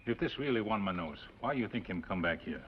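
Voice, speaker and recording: An actor playing a stoic, loyal frontier sidekick in an old TV western, speaking tersely in broken grammar. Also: male voice, lowish voice, lively intonation, fast talker, natural voice. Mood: wary, grave. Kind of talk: 1950s television western acting. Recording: muffled, noisy old TV western soundtrack.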